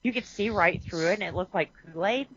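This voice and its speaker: A woman co-hosting a podcast, chatting casually about a movie, animated and quick to laugh. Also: medium pitch, a little hiss or hum. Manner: animated, laughs often, acts out quotes. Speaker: podcast co-host. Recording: muffled lo-fi audio.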